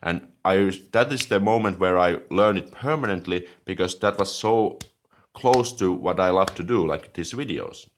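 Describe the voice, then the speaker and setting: A man from Finland, talking casually on a live stream and answering viewer comments as a language-learning host, with a relaxed, chatty manner and frequent "like" fillers. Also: deep voice, normal speed.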